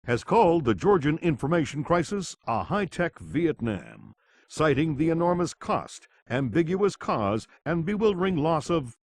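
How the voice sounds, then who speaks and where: An actor playing a grave television news anchor, reading scripted bulletins in a formal, measured way for a game. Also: male voice, on the high side, big pitch swings, darkish tone, no room sound.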